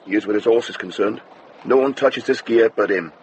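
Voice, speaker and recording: An actor playing a respectful but wary young stable groom in a radio drama. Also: male voice, fairly low pitch, brisk, a little mellow, a little hiss or hum, natural voice, rough recording. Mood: chatty, guarded.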